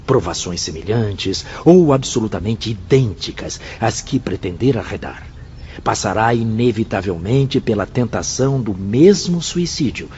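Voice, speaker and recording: A man's voice: on the low side, darkish tone. A Brazilian actor playing an earnest, solemn man in a radio drama, reciting emphatically. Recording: dull lo-fi audio, faint background noise.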